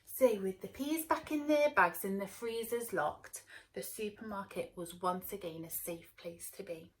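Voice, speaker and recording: A woman teacher talking to young children and reading them a story aloud in an expressive way. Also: medium pitch, a little slow, low-quality recording. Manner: expressive, addressing small children. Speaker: early years teacher.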